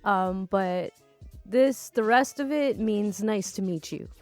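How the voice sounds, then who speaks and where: A young woman reading online stories aloud and commenting between them, chatty and quick to laugh, with casual swearing. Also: mid-range voice, darkish tone, soft background music. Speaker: storytime YouTuber.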